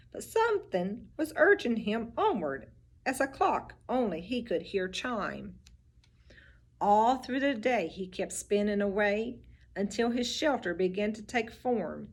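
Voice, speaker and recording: A teacher reading a picture book aloud, with expressive storybook delivery and little asides about the pictures. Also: female voice, a little high, a little slow, slightly rough.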